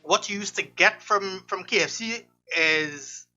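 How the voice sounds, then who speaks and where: A man's voice: high voice, measured pace, sharp tone, highs cut off, faint music behind. A young man from Trinidad, co-hosting a casual podcast chat with swearing and easy laughter. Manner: laid-back, swearing, laughs often. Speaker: podcast co-host.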